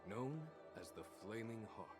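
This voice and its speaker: An actor playing a composed, courteous general of a fantasy army in an anime-style game. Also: male voice, on the low side, animated, normal speed, music in the background, lightly acted. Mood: formal, respectful.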